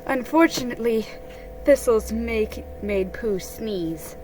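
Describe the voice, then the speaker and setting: Slow-paced woman reading a storybook over music. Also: a little high, poor audio. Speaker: host of a holiday read-aloud series. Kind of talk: dramatic storybook reading with casual chat.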